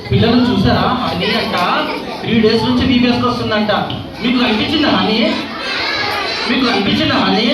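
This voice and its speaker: A woman with a fairly high voice hosts a children's church program, teasing and questioning the kids as she warms them up for a puppet show. She sounds far from the mic in a big echoing hall, with loud children and crowd noise all around. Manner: coaxing, questioning children playfully. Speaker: vacation bible school leader. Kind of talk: lively children's show hosting. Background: noisy children.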